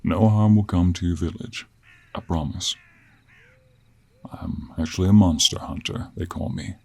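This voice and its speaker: A man voicing a confident, sly cowboy monster hunter with a gravelly voice and an American Western accent in a fantasy audio roleplay. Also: deep voice, fairly even intonation, a little slow, darkish tone, heavily acted. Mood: sly, polite.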